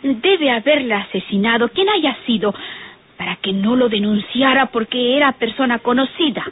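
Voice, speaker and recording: An actress playing an earnest, formally polite woman giving testimony in an old radio drama, husky and deliberate, on a tinny recording. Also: on the high side, lively intonation. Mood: earnest, emphatic. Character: earnest unmarried sister-in-law giving testimony to a police inspector. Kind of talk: old Spanish-language radionovela acting.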